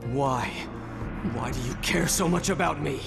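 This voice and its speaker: An actor playing a bitter, defiant teenage ninja bent on revenge in an anime game dub. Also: male voice, medium pitch, unhurried, creaky, music in the background. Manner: intense, defiant, dramatic.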